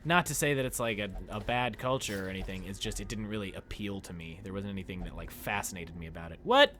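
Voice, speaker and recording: A man's voice: on the low side, quick pace. A young man chatting casually with his viewers while he plays, talking into a headset mic in a small room. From United States. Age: young adult. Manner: chatty, animated, talks to chat while playing. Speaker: streamer. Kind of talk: casual gameplay commentary with chat.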